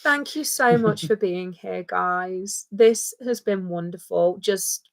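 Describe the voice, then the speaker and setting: Perempuan Inggris yang bicara berapi-api. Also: female voice, mid-range voice, slow, gravelly. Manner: passionate and outspoken, repeating points for emphasis. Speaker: co-host. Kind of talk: casual livestream chat.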